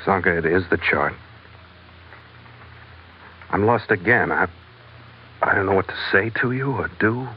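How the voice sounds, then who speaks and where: Low-voiced american sea captain, tough and wry, old radio drama, muffled hissy recording. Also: male voice, animated, measured pace, pauses often. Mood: curious, wary. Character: wry, tough American ship captain narrating his own adventure. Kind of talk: 1940s radio adventure acting, first-person narration.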